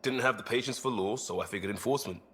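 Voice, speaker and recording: A man's voice: mid-range voice, quick pace, a bit bright, natural voice. A man playing an easygoing, earnest crisis responder and former cop in a video game, talking in a casual, blunt, wry way. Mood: candid, proud. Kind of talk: video game cutscene dialogue.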